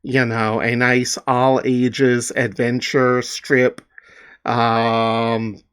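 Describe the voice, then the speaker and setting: A man's voice: medium pitch, fairly bright tone, clear, resonant tone. A man, a small comics publisher, chatting in a rambling, hesitant, self-deprecating way with many fillers. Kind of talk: casual spoken commentary.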